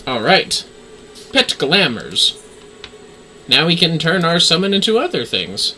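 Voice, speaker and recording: Bright, lively-voiced man streaming a game. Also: on the high side, unhurried, a little hiss or hum. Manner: talks to self while playing. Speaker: livestream gamer, VTuber. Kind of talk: casual gameplay commentary, reading game text.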